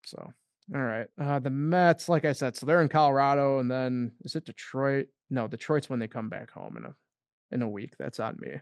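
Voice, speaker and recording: A man's voice: medium pitch, moderate pace, clean tone, no echo. A man co-hosting a sports podcast, talking casually and loosely with lots of fillers. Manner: loose and rambling, heavy on fillers.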